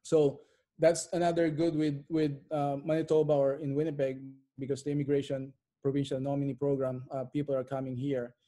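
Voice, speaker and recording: A man's voice: mid-range voice, little pitch movement, a little fast, mellow. A middle-aged man answering interview questions conversationally as a guest, repeating phrases for emphasis. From immigrant to Canada. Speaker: interview guest, real estate investor. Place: bright living room at home.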